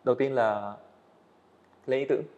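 A man's voice: mid-range voice, steady tone, normal speed, darkish tone, highs cut off. A young man, a guest animator, earnestly explaining his work step by step in a casual interview on a studio set.

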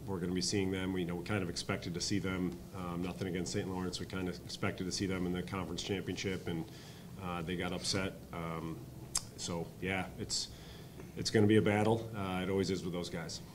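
A man in his thirties, a head coach answering postgame press questions at a table mic, halting with many ums and uhs. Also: sits low, little pitch movement, noisy background. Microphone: table mic on stand.

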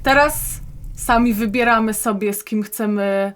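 A woman's voice: a little high, unhurried, slight background noise. A woman chatting casually in a group discussion, hesitantly searching for her words.

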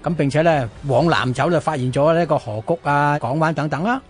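A man's voice: a little high, brisk. A man with a Hong Kong Cantonese accent hosting radio, telling history in a conversational way full of colloquial sentence-ending particles. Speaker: radio host. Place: radio studio.

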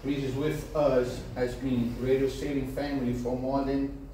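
A man whose first language is Portuguese, speaking earnestly and apologetically to a seminar audience as race organizer, with halting restarts. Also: medium pitch, flat delivery, mellow, a little hiss or hum. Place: meeting room with large windows. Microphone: far camera. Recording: echoey room from far off.

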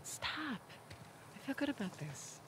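A woman's voice: high voice, sing-song, unhurried, a bit bright, slight background noise, heavily acted. An actress playing a determined, hopeful young woman survivor in a video game, speaking naturally in terse, clipped phrases.

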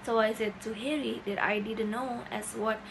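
A woman's voice: fairly high pitch, fairly even intonation, moderate pace, gravelly, background noise. A young woman playing a plain-spoken male farmer recounting what he saw, in amateur student stage-play style. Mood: earnest recounting. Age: young adult. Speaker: student actor in a class play. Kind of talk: amateur stage-play acting, recited monologue. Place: indoors, small plain room. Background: music.

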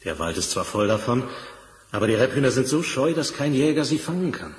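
A man's voice: medium pitch, big pitch swings, a little fast, natural voice. An actor playing a stately, food-loving, grateful king in a fairy-tale radio play. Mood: longing. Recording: echoing hall, slightly noisy old record.

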